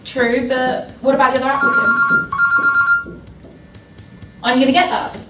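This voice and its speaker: A woman with a high, hugely animated voice presents an amateur student video. She sounds echoey and off the mic, with loud music in the mix. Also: measured pace, distant mic. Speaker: interviewer and presenter.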